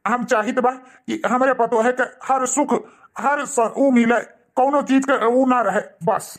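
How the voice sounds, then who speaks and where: A man with an Awadhi accent playing a gentle, affectionate elderly father in a cartoon. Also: very high voice, steady tone, takes it slowly. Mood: tender, grateful. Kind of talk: cartoon dialogue.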